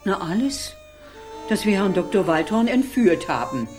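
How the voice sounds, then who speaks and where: A woman's voice: mid-range voice, big pitch swings, moderate pace, over music, lightly acted. An actress playing a naive, righteous old lady from a gang of pensioners in a radio crime drama. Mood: artless, indignant. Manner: artless, righteous.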